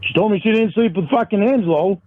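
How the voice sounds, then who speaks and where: Phone-line male caller, higher-pitched, defensive and foul-mouthed, rough grammar, heated argument. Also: fast talker. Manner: defensive, profane, nonstandard grammar. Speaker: caller in a confrontation. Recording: tinny narrow phone line.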